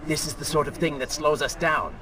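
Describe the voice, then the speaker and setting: An actor playing the sardonic, impatient dark inner voice of a prince in a video game, mocking and dismissive. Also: male voice, on the high side, fairly even intonation, brisk, heavy background noise, lightly acted. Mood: irritated, mocking. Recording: music under the voice.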